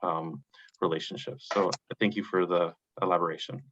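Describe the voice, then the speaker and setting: A man with a fairly low voice asks the speaker a long question in a seminar. He wanders and searches for words as he goes. The audio sounds dull and lo-fi. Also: fairly even intonation, normal speed, a bit bright, a little hiss or hum. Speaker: audience member asking a question.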